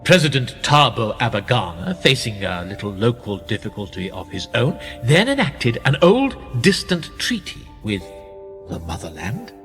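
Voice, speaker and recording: Radio play: man playing a worldly establishment power broker, suave and patronising, lively inflection, cavernous echo. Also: medium pitch, moderate pace. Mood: dry storytelling. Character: smug, worldly male powerful establishment insider, pedantic and condescending. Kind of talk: radio drama dialogue. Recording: long reverberant hall, music underneath. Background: music.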